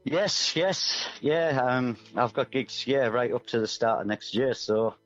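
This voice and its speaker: A man's voice: mid-range voice, unhurried. A man chats casually as a musician guest, in a rambling, self-deprecating way. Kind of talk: casual radio interview chat. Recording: dull, muffled audio over music.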